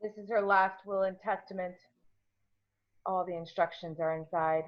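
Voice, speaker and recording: A man's voice: sits high, steady tone, moderate pace, lo-fi sound, pitched above the performer's own voice, lightly acted. A man playing a brusque 1940s police officer, formal and solemn, in an amateur table read. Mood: brisk, solemn.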